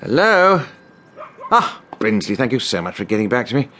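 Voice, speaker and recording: An actor playing a fussy, genial middle-aged British gentleman in a radio drama, chatting heartily. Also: medium pitch, very animated, normal speed, background noise, natural voice. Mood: jovial, chatty.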